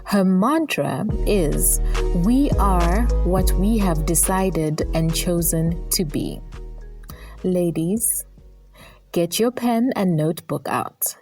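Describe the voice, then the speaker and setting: A woman reads a scripted guest introduction in a slow, deliberate way. Her voice sits fairly low, and soft music plays faintly behind her. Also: expressive.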